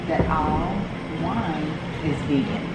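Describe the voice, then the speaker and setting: A woman's voice: low voice, slow, noisy background. Hesitant mother, far from the mic. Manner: hesitant questions. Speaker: vlogger's mother. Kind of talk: casual chat. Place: indoor restaurant. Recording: roomy, off-mic, loud music.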